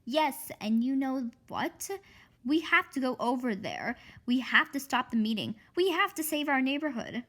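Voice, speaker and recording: A woman voicing a bossy, excitable young girl with big ideas in a children's book read-aloud, insistent and sure of herself. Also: high-pitched, normal speed, voice pushed up. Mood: urgent, persuasive.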